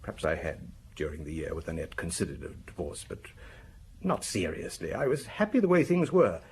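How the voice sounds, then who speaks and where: Defensive, tired murder suspect for radio drama; hesitant, widely varying intonation, slightly noisy recording. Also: male voice, deep voice, wildly swooping pitch, brisk, a little mellow, exaggerated voice. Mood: weary, candid. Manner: hesitant, explanatory, breaking off mid-sentence. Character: weary, defensive husband under police questioning over his wife's murder.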